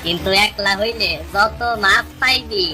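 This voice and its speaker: A woman's voice: medium pitch, measured pace, sharp tone, poor audio. A woman voicing a sly, greedy village fisherman in a cartoon, insinuating and goading, with a rural Bangladeshi Bengali accent. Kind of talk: cartoon story dialogue.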